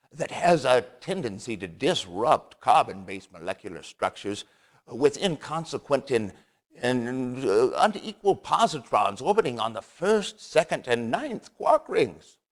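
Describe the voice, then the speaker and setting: An actor playing an eccentric, excitable scientist interviewed on TV in a video game cutscene, halting and theatrical as he piles up jargon. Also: medium pitch. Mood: earnest, rambling. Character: eccentric, excitable male scientist with grand ideas.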